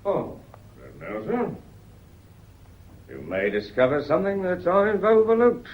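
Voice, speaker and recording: An actor playing a British police inspector in a radio drama, deceptively polite, sly and probing, with a deferential, falsely casual manner. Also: male voice, high voice, huge pitch swings, unhurried, highs cut off, full character voice. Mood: insinuating, polite.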